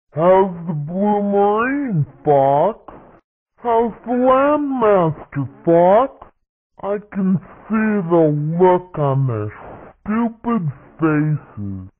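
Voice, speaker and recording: A man voicing a young, excitable, anxious frog starfighter pilot in a video game, boyish and exclamatory, in short radio callouts. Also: high voice, extremely slow, a little hiss or hum, full character voice. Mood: chatty. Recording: tinny, band-limited radio-like game audio.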